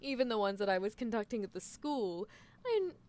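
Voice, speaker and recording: A woman's voice: on the high side, big pitch swings, a little fast. A woman playing a possessive, coolly unethical female mad scientist in a live ASMR roleplay, coaxing the listener with theatrical delivery. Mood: haughty, amused. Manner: languid, theatrical, coaxing, with soft chuckles.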